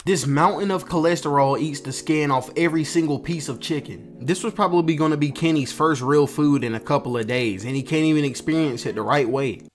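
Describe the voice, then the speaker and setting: A man's voice: a little high, fairly quick, hardly any pauses. A man delivering scripted comedic commentary as a video essay host, with slangy, profane, mocking delivery. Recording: music behind the voice.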